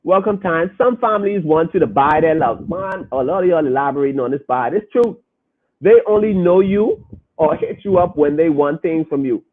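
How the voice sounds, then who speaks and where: A man with a high voice hosts a podcast in a casual, preachy way, talking straight at his listeners. His voice comes through thin and narrow, as if over a phone line. He stresses his points hard. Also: lively intonation, moderate pace. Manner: emphatic, preachy, addresses listeners directly. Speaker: podcast host. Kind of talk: casual commentary, reading listener comments.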